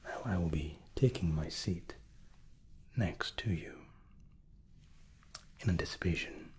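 A man in his thirties whispering softly and courteously as a flight attendant in an ASMR roleplay, close to the microphone. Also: low voice. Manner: soft, slow, courteous attentive steward.

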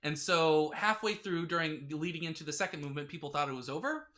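Halting man chatting on a podcast. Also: on the high side, lively intonation, bright, forceful tone. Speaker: podcast co-host. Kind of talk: casual chat. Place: small furnished room at home, indoors. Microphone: podcast microphone on a stand.

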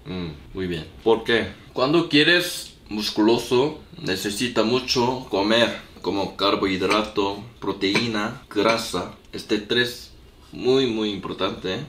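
A man's voice: on the low side, slow, a bit bright, echoey room. A young man whose first language is Korean, speaking simple learner's Spanish while cooking and chatting in a vlog, with a lingering cough. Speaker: vlogger. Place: small apartment room.

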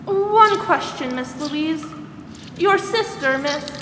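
A woman's voice: high voice, animated, slow pace, bright, forceful tone, exaggerated voice. A woman acting a polite, concerned social worker in a 1940s-style radio drama. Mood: hesitant. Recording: roomy stage hall, faint noise.

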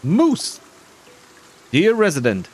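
Animated man rambling through a game. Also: fairly high pitch, takes it slowly, slightly rough. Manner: rambling, self-deprecating, muttering asides. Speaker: gamer narrating his playthrough. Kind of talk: casual game commentary. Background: faint game music.

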